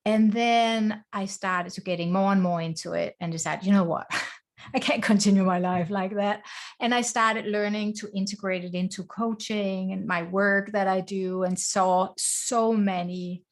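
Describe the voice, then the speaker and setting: A woman's voice: mid-range voice, steady tone, unhurried. Earnest woman. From Denmark. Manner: earnest, reflective, speaks in short phrases. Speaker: guest, life coach. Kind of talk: conversational interview. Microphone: video call.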